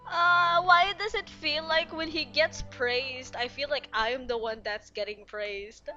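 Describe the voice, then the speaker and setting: Bright, higher-voiced woman gamer. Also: unhurried. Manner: gushing, playful, expressive. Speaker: gaming video creator. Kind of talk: casual game reaction commentary. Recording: dull lo-fi mic, faint game music.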